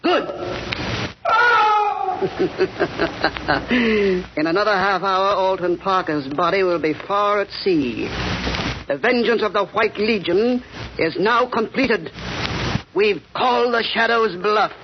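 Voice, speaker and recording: A man's voice: high-pitched, slow, long pauses. A man playing a gloating, vengeful member of a secret gang in a 1930s radio drama, menacing and sneering. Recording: muffled, noisy 1930s radio recording.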